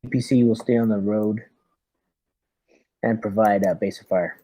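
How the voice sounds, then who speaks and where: A man playing a tabletop roleplaying game as squad leader, giving deliberate, military-style orders. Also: lowish voice, steady tone, dark tone, dry recording. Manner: deliberate, military briefing phrasing. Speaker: tabletop RPG player leading the squad as lieutenant.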